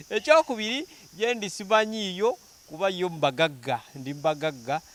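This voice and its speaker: A man's voice: high voice, a bit bright. A man with a Ugandan accent, hosting a casual, teasing interview chat. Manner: playful, teasing, quick to laugh.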